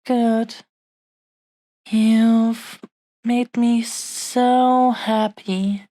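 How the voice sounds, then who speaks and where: A woman playing a tender, possessive dragon-blooded fiancée in an intimate romantic audio roleplay, speaking haltingly with lingering, breathy pauses. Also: on the high side, mellow, dry recording. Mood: sheepish, then grateful.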